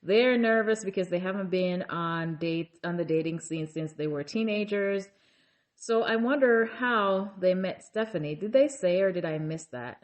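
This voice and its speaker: Woman, casual recap host, chatty, filler-heavy, lower-pitched voice. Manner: chatty, conversational, lots of fillers and asides; acts out quoted lines in a raised pitch. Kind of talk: casual episode recap commentary.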